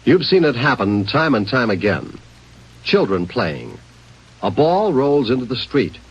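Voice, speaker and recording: A man's voice: medium pitch, sing-song, measured pace, breathy edge. An American man reading a scripted safety announcement in 1950s radio style, earnest and emphatic. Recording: muffled vintage radio recording.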